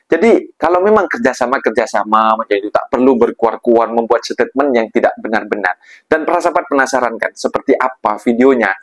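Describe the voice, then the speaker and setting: A man's voice: mid-range voice, lively intonation, fairly quick. A man hosting a reaction video, speaking emphatically and indignantly to his viewers as he comments. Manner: indignant, emphatic, refers to himself in third person.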